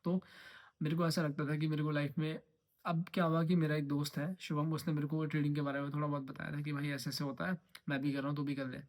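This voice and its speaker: A young man from the Himalayan hills of Uttarakhand speaks, pitched a little above the usual for a man. His delivery is rapid and rather flat, rambling and repeating himself while slipping English phrases into casual vlog chat. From Uttarakhand, India. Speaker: trading vlogger.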